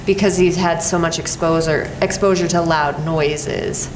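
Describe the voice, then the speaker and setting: A middle-aged woman speaking on a live panel in a measured, explanatory way. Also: deep voice, steady tone. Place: college event room. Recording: echoey room with background noise.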